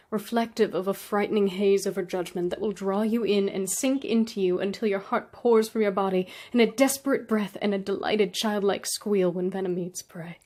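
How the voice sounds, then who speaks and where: A woman performing a slam poetry reading, earnest and dramatic, with deliberate pauses between phrases. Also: mid-range voice, darkish tone. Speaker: slam poet.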